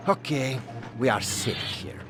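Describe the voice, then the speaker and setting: An actor voicing a suave, gallant swashbuckling cat with a Spanish accent in a cartoon video game. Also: male voice, mid-range voice, unhurried, lightly acted. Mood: confident. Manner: gallant, dramatic. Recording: music under the voice.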